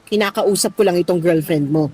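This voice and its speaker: A woman's voice: fairly low pitch, fairly quick, mellow, husky. A woman playing an exasperated middle-aged Filipino mother in a radio drama, scolding and grumbling about household chores. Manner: scolding, sighing, muttering to herself. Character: exasperated middle-aged mother nagging her son's lazy girlfriend.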